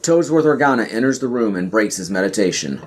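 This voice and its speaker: A man's voice: fairly low pitch, lively intonation, a little fast, a little hiss or hum. A man reading scripted scene directions as the narrator of a podcast audio play.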